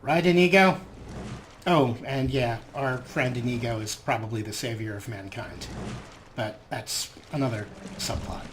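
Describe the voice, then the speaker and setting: A man's voice: medium pitch, unhurried, noisy background. A man giving casual, playful, improvised commentary as he plays a video game, often talking to the characters. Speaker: let's play host. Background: video game ambience.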